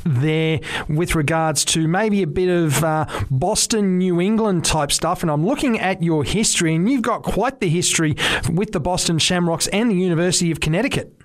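Australian man, radio sports host, chatty, jokey, slightly high voice. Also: normal speed. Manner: jokey, chatty, rambling questions. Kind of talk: casual radio interview.

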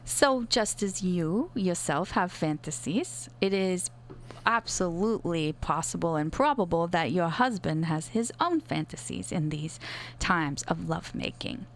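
A woman playing a calm female sex therapist who speaks in a measured, reassuring way with hesitant fillers. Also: on the low side. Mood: soothing. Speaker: advice-show therapist. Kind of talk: improvised comedy sketch.

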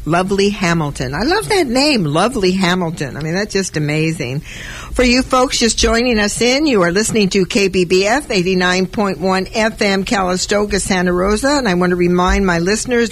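A woman's voice: on the low side, moderate pace. Effusive woman hosting a radio show. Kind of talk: community radio interview. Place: radio broadcast.

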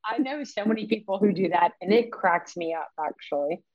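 A woman's voice: mid-range voice, animated, fairly quick, highs cut off. Giggly woman co-hosting a podcast. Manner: giggly and chatty. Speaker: podcast co-host. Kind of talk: casual podcast chat. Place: at home.

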